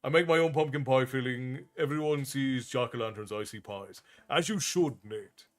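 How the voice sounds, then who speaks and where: A man's voice: mid-range voice, normal speed. Chatty man with an Irish-American accent. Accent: Irish mixed with American. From Ireland. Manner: relaxed, chatty, thanks viewers often. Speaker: streamer. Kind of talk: casual chat with viewers.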